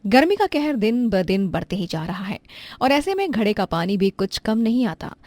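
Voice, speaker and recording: A woman's voice: medium pitch, big pitch swings, normal speed, a little mellow, no room sound. A woman storyteller giving scripted narration of a family moral tale.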